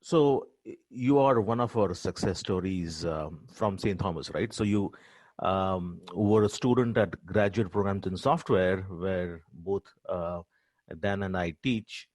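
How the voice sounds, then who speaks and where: A middle-aged man hosting a podcast interview, asking halting, filler-heavy questions. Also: low voice, a little mellow, no room sound. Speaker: podcast co-host, professor.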